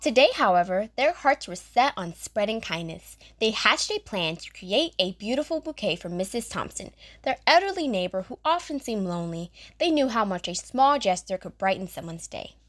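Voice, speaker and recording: A woman narrates a scripted story for children. Her voice sits at an average pitch for a woman. She reads as the storyteller of an audio drama. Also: very animated, moderate pace, hardly any pauses.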